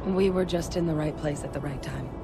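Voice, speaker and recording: A woman playing a cool, frank, serious companion in a video game, measured and matter-of-fact. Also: deep voice. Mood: composed. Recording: game music behind.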